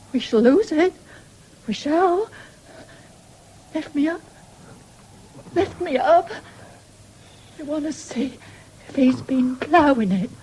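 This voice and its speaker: An actress playing an ailing, stubborn farm wife with an English accent in a 1970s radio drama, weak and insistent. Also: female voice, high voice, dark tone, low-quality recording. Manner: insistent, repeating demands. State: weak and failing. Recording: hissy old radio broadcast recording.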